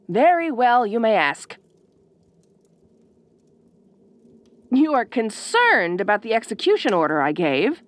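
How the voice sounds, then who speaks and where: A woman plays a proud ice queen who rules every sentence. She speaks slowly and formally, with sweeping, expressive intonation. Her manner is bossy and condescending, with a guarded fondness underneath, in an intimate audio roleplay addressed to the listener. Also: fairly high pitch. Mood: condescending, amused. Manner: imperious, formal, drawn-out condescending phrasing.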